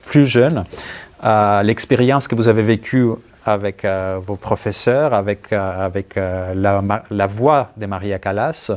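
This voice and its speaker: A man's voice: on the low side, darkish tone. A man from Greece with a Greek accent, a writer answering questions conversationally and searching for words as he goes. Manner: halting, searching for words, thoughtful. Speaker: writer being interviewed. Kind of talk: conversational answers at a book talk.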